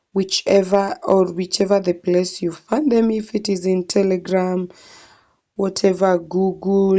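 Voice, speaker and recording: A young woman with a Kenyan accent talks slowly in a low, mellow voice as she narrates her screen. She thinks aloud and keeps calling the viewers guys. The sound is dull and lo-fi. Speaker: tutorial vlogger. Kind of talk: screen-recorded walkthrough.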